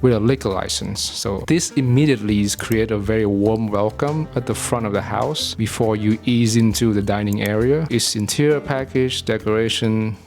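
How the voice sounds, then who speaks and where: A young man with a Vietnamese accent talks through his restaurant picks in a low, measured voice. He sounds earnest and a little formal, with the odd blunt aside. Quiet guitar music plays faintly underneath. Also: creaky. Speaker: food reviewer. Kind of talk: scripted video narration.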